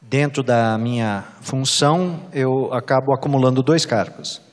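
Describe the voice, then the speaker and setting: A woman's voice: extremely low pitch, a little slow. A woman with a Brazilian accent interpreting a church address live, phrase by phrase. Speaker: consecutive interpreter. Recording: echoey hall with long reverb.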